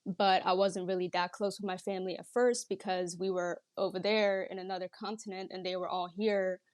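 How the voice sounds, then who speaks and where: A woman's voice: medium pitch, little pitch movement, fairly quick, a little mellow, no room sound, studio quality. A young woman from the Netherlands, chatting casually and reflectively as a podcast guest. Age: young adult. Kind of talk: casual reflective interview chat.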